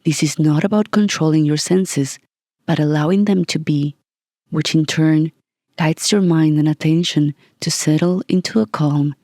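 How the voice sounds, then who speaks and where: A meditation guide reading a scripted session in a calm, gentle, soothing manner with long pauses. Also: medium pitch, dry recording, clean studio sound.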